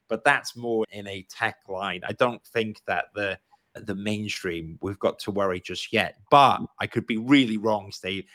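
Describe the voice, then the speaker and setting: A man's voice: on the low side, a bit bright, no room sound. A man with a Welsh accent hosting a live-streamed interview, chatty and encouraging. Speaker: podcast host.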